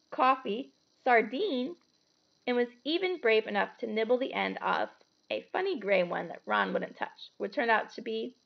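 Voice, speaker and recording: A woman's voice: on the high side, dull audio. A woman storyteller reading a children's book aloud expressively, with the odd casual aside.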